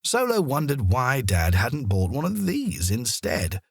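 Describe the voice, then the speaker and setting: A man narrating a children's story in an expressive storytelling manner, with deliberate pauses. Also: mid-range voice, creaky, slightly rough, dry recording, studio quality. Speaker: audiobook narrator.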